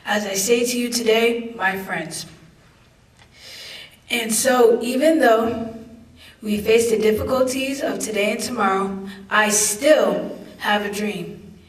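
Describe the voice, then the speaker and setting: A young student reader recites a speech very slowly and solemnly. The voice is distant from the microphone and echoes in a large room. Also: mid-range voice, fairly even intonation. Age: young adult. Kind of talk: dramatic reading of a famous speech. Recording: echoey hall, far from the mic.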